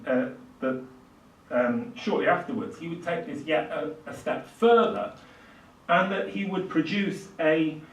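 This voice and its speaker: An older man delivers a lecture in a halting, thoughtful manner, often pausing to find the next phrase. He is recorded at a distance in an echoing room, with faint noise in the background. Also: medium pitch. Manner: hesitant, halting delivery with reflective pauses. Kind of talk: public lecture on literature and music. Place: small indoor lecture room, at a lectern. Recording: roomy, distant microphone, faint hiss.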